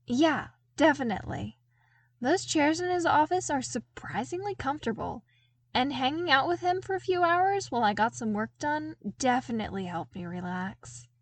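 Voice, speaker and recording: An earnest young man, voiced by a woman in an audiobook, eager and a little sheepish. The voice is high and artless, chatting along in a dry, close recording. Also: animated, moderate pace, dry recording. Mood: happy. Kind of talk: audiobook character dialogue.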